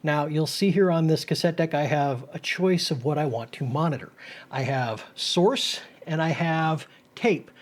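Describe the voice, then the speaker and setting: A man's voice: a little high, a little slow. A middle-aged man hosting a casual tech hobby vlog, explaining things informally step by step and rambling a little. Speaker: host of a retro tech video series. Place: small home studio, indoors.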